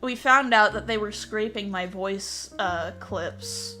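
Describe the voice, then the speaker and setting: A woman's voice: medium pitch, slow pace, bright, forceful tone, soft background music. A woman chatting casually on a livestream in a blunt, exasperated way with some swearing. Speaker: video creator and streamer. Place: at a desk, in a VR chat.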